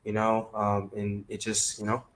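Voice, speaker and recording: Low, even-toned man chatting casually. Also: moderate pace, a little hiss or hum, poor audio. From Maryland, DC area, United States. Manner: colloquial, peppered with "you know". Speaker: interview guest, talent manager. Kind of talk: casual interview chat.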